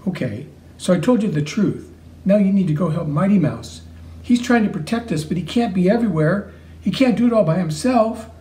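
A man's voice: high-pitched, expressive, a little fast, warm tone, reverberant, distant mic. A man voicing an earnest, awkward young boy who speaks hesitantly. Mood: pleading.

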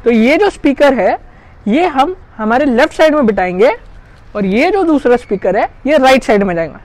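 A woman's voice: sits high, animated, moderate pace, darkish tone. A woman casually walking through a hands-on product installation, chatting as she works step by step. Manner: chatty, explains hands-on while working. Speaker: gear reviewer.

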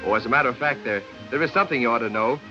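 An American actor in his thirties playing a fast-talking, flustered radio producer in a 1940s comedy film. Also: medium pitch, lightly acted. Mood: sweet-talking. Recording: muffled 1940s film soundtrack, music behind.

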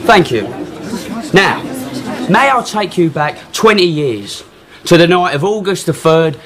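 Cocky, animated young prisoner. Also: male voice, sits high, a little slow, a crowd in the background. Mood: self-assured. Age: young adult. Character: cocky, mocking young male prisoner playing to courtroom. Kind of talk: radio drama acting. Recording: noisy courtroom ambience behind.

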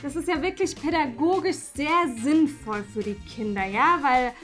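A woman playing an earnest, well-meaning teacher in an improvised comedy sketch, speaking in a polite, halting and preachy manner. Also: high voice, animated, soft background music.

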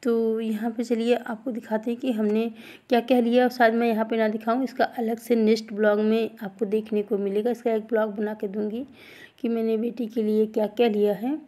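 A woman, around her thirties, chats through a casual vlog voice-over. Her voice sits fairly high but is very soft-edged and mellow. The melody stays quite flat as she speaks directly to viewers, often circling back over the same point. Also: brisk. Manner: chatty, repetitive, addresses viewers directly. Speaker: vlogger.

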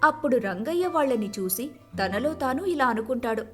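A woman narrates a storybook tale in a high voice. Her intonation swings in a lively way, with light music playing behind her. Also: normal speed.

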